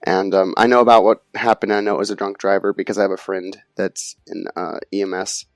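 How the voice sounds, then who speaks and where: A man's voice: deep voice, flat delivery, brisk, no echo, soft background music. A man co-hosting a podcast, telling a story in a casual, laid-back way with fillers and swearing. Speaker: podcast co-host. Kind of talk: casual chat.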